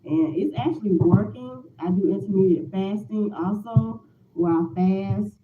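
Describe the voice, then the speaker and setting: A woman's voice: mid-range voice, a little slow. Forties woman vlogger, chatty and meandering; recorded on a tinny line in a roomy, noisy space. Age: 40s. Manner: rambling, repeats herself, frequent "um" fillers. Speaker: lifestyle vlogger. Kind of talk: casual morning chat to viewers.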